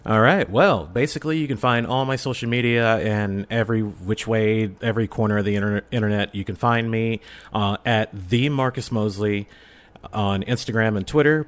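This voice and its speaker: Low-voiced man chatting as podcast guest. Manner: animated storytelling, acts out reactions. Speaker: podcast guest, audio drama creator and film reviewer. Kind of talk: casual chat.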